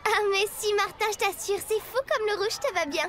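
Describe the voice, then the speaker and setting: A woman's voice: very high voice, brisk. A woman voicing a sassy, reproachful young schoolgirl in a French cartoon dub. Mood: sweet.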